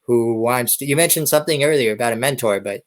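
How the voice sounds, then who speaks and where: A man's voice: medium pitch, fast. A man hosting a podcast interview in a friendly, conversational style, putting questions to a guest.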